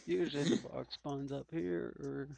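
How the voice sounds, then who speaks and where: A man talking slowly while playing a shooter game, muttering play-by-play and making goofy outbursts. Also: on the high side, fairly even intonation, gravelly, a little mellow, no room sound. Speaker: gamer. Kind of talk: casual gaming chat.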